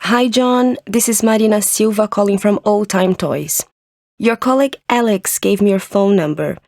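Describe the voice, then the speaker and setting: A woman's voice: mid-range voice, darkish tone, no echo, natural voice. A woman playing a polite businesswoman leaving a work voicemail for a scripted listening exercise, enunciating carefully. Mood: polite, businesslike. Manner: careful, clearly enunciated, spelling things out slowly.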